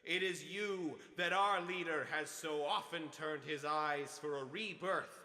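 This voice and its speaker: An actor playing a zealous youth leader giving a declamatory, fervent speech at a mass rally in a radio drama. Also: male voice, on the high side, measured pace, pushed and bright. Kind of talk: old-time radio drama oratory. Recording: long hall reverb on the voice.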